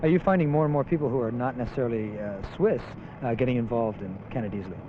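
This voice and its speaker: A man interviewing people on the street with brisk questions. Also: medium pitch. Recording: dull old film audio with loud street noise.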